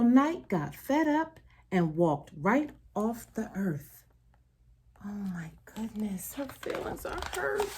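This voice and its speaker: A woman reading a children's picture book aloud as a storyteller, expressive and deliberate, with emphatic phrasing and soft asides to the listeners. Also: fairly high pitch, slightly rough.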